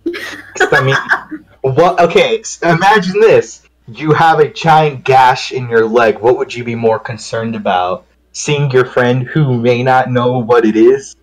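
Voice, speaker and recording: A man's voice: mid-range voice, a little slow, low-quality recording. A man chatting casually and jokingly, building up mock arguments in a theatrical way. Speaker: guest voice reader on a stream.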